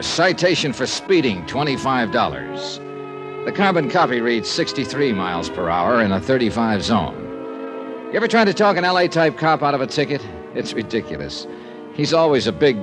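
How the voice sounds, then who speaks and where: A man's voice: medium pitch, lightly acted. An actor playing a cocky, wisecracking insurance investigator in a 1950s American radio drama, narrating his case and trading dialogue. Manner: wry, wisecracking. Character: wry, cocky freelance insurance investigator, adult man. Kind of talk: 1950s American radio detective acting, first-person narration.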